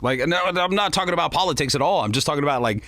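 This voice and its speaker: A man's voice: a little high, lively intonation, very fast, fairly bright tone, no room sound, clean studio sound. An American man working as a radio DJ, talking in a casual, chatty, teasing way between songs. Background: music beds behind the voice.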